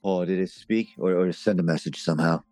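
A man's voice: sits low, a little fast, a little mellow, dry recording, faint music behind, natural voice. A man playing an eager, scattered amateur ghost-hunter boss in a naturalistic comedic audio drama, hesitant and repeating himself. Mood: eager, curious. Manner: hesitant, rambling, repetitive.

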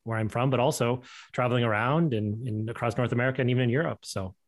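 A man's voice: mid-range voice, quick pace. A man in his thirties from Alberta, Canada, a guest on a video call, telling stories in a loose, laughing way full of fillers. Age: 30s. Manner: animated, laughing storyteller with many fillers. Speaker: guest, improviser and podcast host. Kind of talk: casual chat on a video call.

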